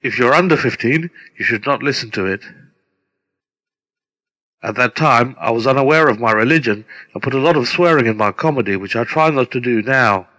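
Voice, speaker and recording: A middle-aged man reading a scripted introduction as a presenter, deliberate and formal. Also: mid-range voice, sharp tone. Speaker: presenter introducing his own radio play. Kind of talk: scripted narration. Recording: long hall-like reverb.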